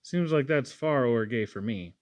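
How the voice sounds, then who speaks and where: A man voicing a fussy, warm-hearted housewife in a storybook, with an emphatic, homely scolding manner. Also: mid-range voice, lively intonation, normal speed, no echo, close to an everyday voice. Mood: plain, modest.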